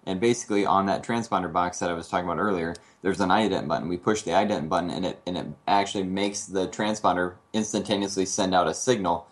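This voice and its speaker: A man in casual conversation, explaining things and asking questions as a guest. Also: low-pitched. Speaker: guest, flight instructor.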